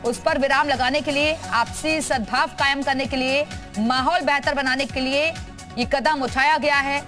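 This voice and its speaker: A woman's voice: high voice, fairly even intonation, normal speed, fairly bright tone, soft background music. A woman presenting live television news as an anchor, emphatic and repeating her headlines.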